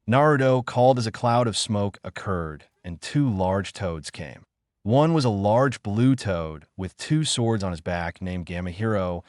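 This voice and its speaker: Low-voiced man narrating a story. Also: dry recording, clean studio sound. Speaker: narrator of fan fiction story videos. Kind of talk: scripted story narration reading dialogue and action.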